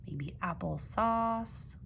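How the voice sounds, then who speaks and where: A woman reading a children's picture book aloud like a teacher, with expressive emphasis and questions put to young listeners. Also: mid-range voice, creaky, a little hiss or hum. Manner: expressive, dramatic gasps and emphasis, pausing to ask questions.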